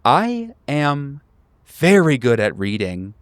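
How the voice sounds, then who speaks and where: A man's voice: medium pitch, huge pitch swings, slow, dry recording, clean studio sound, voice pushed up. A man voicing an irritated, sarcastic woman in her late forties, curt and combative, in a tabletop game. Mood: snippy, scornful. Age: middle-aged.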